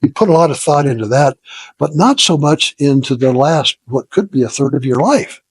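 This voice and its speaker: A man in his seventies talks reflectively as an interview guest, in a slightly husky voice. He is halting and self-deprecating, often pausing to find the word. The sound is dry and close. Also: fairly high pitch, animated, no echo. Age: 70s. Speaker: interview guest, retired business founder. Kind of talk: casual reflective storytelling in an interview.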